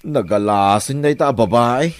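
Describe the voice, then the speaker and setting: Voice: mid-range voice, moderate pace, close to an everyday voice. An actor playing a flustered, dithering young man with an Ilocano accent in a comedy radio drama, hesitant and stammering. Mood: uneasy. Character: flustered, dithering young man caught between two women.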